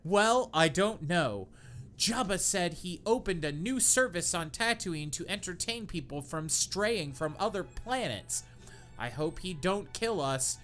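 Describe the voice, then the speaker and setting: Guy doing a high worried princess voice, hammy, lively. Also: a little slow, soft background music. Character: young princess prisoner, worried.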